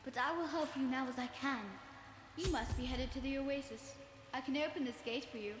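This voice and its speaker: A woman's voice: high-pitched, fairly even intonation, fairly quick, noisy background, natural voice. A woman playing an anxious young male ruler in a fantasy game, speaking formally and urgently. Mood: apologetic, earnest. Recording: echoey, music behind voice.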